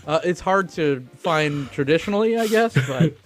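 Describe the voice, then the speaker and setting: A man's voice: fairly high pitch, lively intonation, normal speed. Slangy man chatting over faint music. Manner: informal, slangy. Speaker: film podcast co-host. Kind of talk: casual film discussion.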